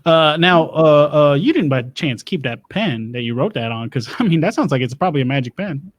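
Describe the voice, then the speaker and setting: Guy hosting a comedy show, folksy and halting, kinda high voice. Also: lively intonation. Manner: folksy, hesitant, drawling with many uhs. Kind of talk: improvised comedy interview.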